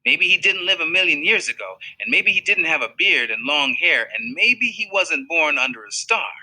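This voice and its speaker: A man reading a children's novel aloud in an expressive storytelling manner. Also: on the high side, rough recording. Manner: expressive storytelling, voices for characters. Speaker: reader.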